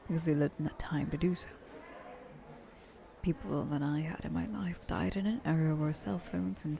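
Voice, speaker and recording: A woman's voice: sits low, vocal fry, background noise. A woman voicing an ancient, seductive, possessive vampire trillionaire in an intimate, poised ASMR roleplay.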